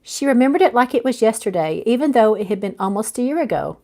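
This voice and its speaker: A woman's voice: a little high, lively intonation, quick pace, dark tone. Woman reading a romance novel aloud. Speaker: author reading their own novel aloud. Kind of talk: audiobook-style narration of a romance novel, live reading.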